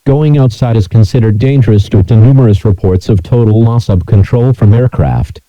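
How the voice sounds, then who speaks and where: A man's synthesized voice reading scripted emergency alert messages in a flat, measured, robotic delivery. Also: lowish voice, no room sound, lo-fi sound.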